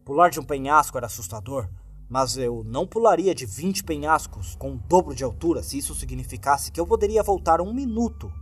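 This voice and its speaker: A man's voice: fairly high pitch, expressive, a little fast, slightly rough. A man with a Brazilian accent reading a scripted horror story as narrator, in a grave and dramatic manner. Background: background music.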